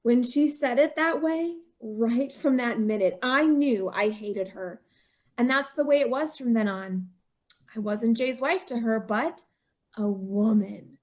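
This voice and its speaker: An actress playing a young bride driven to murder, speaking with breathless anguish in an old-time radio drama. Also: female voice, a little high, a little slow, a little mellow, lightly acted. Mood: bitter.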